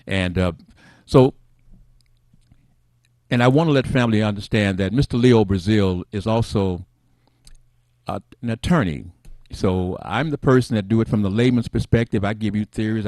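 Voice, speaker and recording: A middle-aged man hosting a casual talk show, soft-spoken and rambling, who addresses his viewers as family. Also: on the low side, no echo. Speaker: host.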